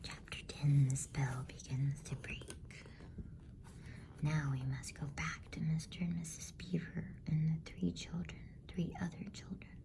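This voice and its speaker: Whispering, creaky ASMR woman storyteller. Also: sits low, flat delivery, measured pace. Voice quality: whispered, soft. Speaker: bedtime story reader.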